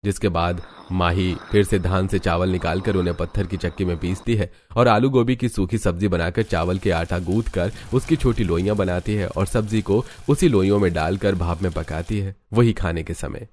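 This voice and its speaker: Low-voiced man narrating a cartoon story. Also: moderate pace, hardly any pauses, darkish tone, slight background noise.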